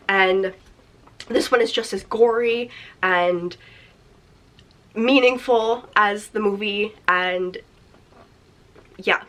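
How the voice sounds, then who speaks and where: A woman with a faintly raspy, flu-roughened voice chats casually about books, rambling and correcting herself as she goes. She sounds bubbly and at ease, seated in front of her camera, and her pitch sits right in the middle for a woman. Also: fairly bright tone. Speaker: book vlogger. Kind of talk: casual chatty book recommendations. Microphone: desk mic or camera, seated in chair.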